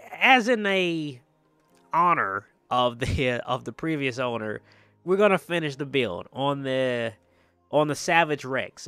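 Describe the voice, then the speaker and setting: A man's voice: mid-range voice, bright, forceful tone, no room sound. A man hosting a gaming video with casual, rambling commentary, often repeating himself for emphasis. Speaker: video game vlogger, host.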